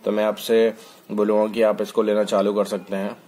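A man's voice: lowish voice, level tone, normal speed, mellow. A man talking casually to camera in a product review vlog, with hesitant fillers and restarts, recorded indoors on a phone. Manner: informal, fillers and restarts, mixes English words. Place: indoors, hands handling bottle on a table.

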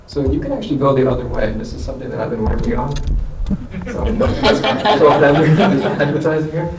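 Male scientist panelist, gravelly tone, explanatory, distant echoey room mic. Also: on the high side, expressive, moderate pace, very noisy. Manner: explanatory, uses analogies. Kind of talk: answering audience questions. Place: lecture room with audience.

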